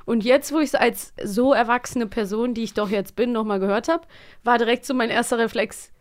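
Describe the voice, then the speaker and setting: A woman's voice: on the high side, brisk. A young woman chatting informally and enthusiastically as a podcast co-host. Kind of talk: casual podcast chat about children's audio dramas.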